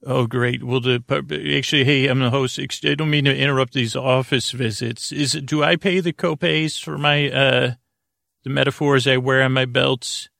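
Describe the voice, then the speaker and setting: A man hosting a sleep podcast with a creaky voice, rambling in a halting, meandering way through whimsical tangents. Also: medium pitch, steady tone, a bit bright, dry recording, studio quality. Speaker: podcast host.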